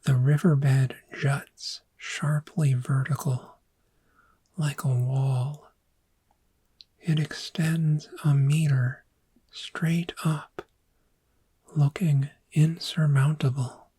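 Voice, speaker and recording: Whispery, low-pitched, extremely unhurried, gentle dragon therapist played by a woman, soothing ASMR guided visualization. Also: fairly even intonation, full character voice. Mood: soothing, encouraging. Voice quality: breathy, whispery. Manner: hushed, slow, deliberate, soothing. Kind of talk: soft-spoken ASMR guided imagery.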